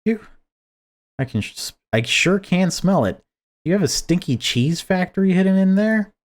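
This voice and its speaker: A man's voice: sits high, animated, moderate pace, pitched above the performer's own voice. A courteous male police officer in a visual novel, acted out by a man reading aloud. He is casual and gullible, polite to a fault. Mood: casual, probing.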